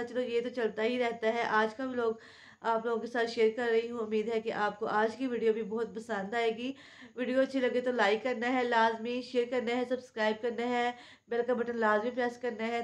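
A woman in her thirties with a Pakistani Urdu accent, chatting through a home vlog and voice-over, hoarse from a sore throat and cold, homely and confiding. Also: on the high side. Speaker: home cooking vlogger. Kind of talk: casual vlog chat and voice-over. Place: small room at home, indoors.

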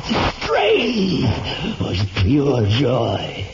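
An actor playing a tormented, rapturous man in an old radio horror monologue, theatrical and halting, repeating words in wonder. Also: medium pitch, wildly swooping pitch, gravelly, exaggerated voice. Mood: striving, elated. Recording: muffled old radio recording, heavy noise.

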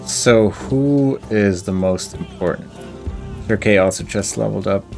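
A man's voice: lowish voice, unhurried, vocal fry, a little mellow. A man doing casual gameplay commentary, thinking his choices through aloud in a deliberative way. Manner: deliberative, musing to himself. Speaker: video game let's play host. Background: faint game music.

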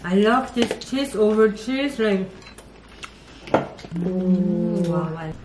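A woman tasting food at a family dinner, chatting casually with short, excited remarks. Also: medium pitch, very slow, heavy background noise. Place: home dining table. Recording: roomy sound with loud music.

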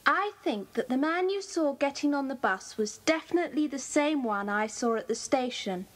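A woman's voice: high-pitched, lively intonation, normal speed. A woman playing an earnest schoolgirl detective in a children's audio drama. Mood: certain.

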